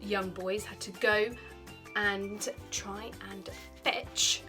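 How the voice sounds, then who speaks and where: A British woman in her thirties, a primary teacher, reading a children's story aloud in an expressive, explanatory way. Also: medium pitch, extremely slow, music in the background. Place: small bright indoor room by a window.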